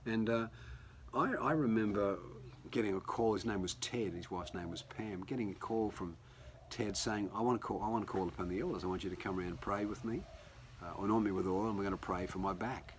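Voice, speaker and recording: A man preaches in a relaxed, conversational way, his very low voice a little croaky from a cold. He often restarts his sentences as he talks from his home. A faint hum sits behind him. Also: brisk. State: hoarse. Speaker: pastor. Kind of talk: sermon.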